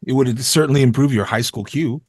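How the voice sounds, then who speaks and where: Man playing witty teenage boy, sarcastic, wisecracking, medium pitch, slasher table read, dry sound. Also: a little fast, dry recording, natural voice. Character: witty, jokey, tall gangly high-school boy. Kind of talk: live table read of a slasher film script.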